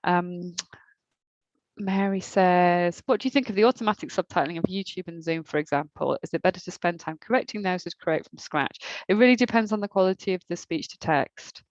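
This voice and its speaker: Woman, slight accent, lowish voice, hesitant with lots of ums, webinar Q and A, dull dry video-call audio. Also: fairly quick, no room sound. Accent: slight non-native accent. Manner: hesitant, many ums and restarts, reads questions aloud. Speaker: subtitling trainer. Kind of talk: answering audience questions in a webinar.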